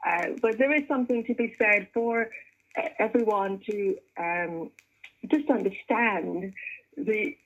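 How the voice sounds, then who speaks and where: A woman's voice: mid-range voice, a little slow, fairly bright tone, highs cut off. A middle-aged woman from Guyana, a children's author working in tech, answering interview questions earnestly with frequent restarts.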